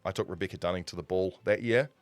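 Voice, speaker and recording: A man's voice: deep voice, fast, no echo. A man in his forties with a New Zealand accent, telling stories in a casual, rambling, conversational way on a live stream. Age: 40s. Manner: rambling, conversational, self-correcting. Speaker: streamer and broadcaster.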